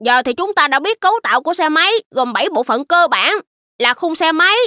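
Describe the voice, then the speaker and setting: A woman's voice: high voice, animated, brisk, sharp tone, dry recording, clean studio sound. A woman voicing an inquisitive, polite young child in a children's cartoon. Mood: curious.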